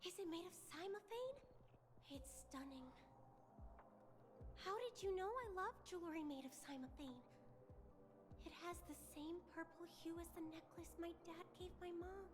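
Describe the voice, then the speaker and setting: A woman playing dramatic, excitable young women in an anime game, theatrical and emphatic. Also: extremely high pitch, normal speed, long pauses, music in the background. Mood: delighted.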